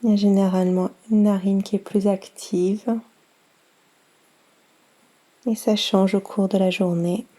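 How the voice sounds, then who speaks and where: A woman guiding a yoga nidra meditation in a soft, soothing, unhurried manner with long pauses. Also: medium pitch, flat delivery. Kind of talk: guided meditation.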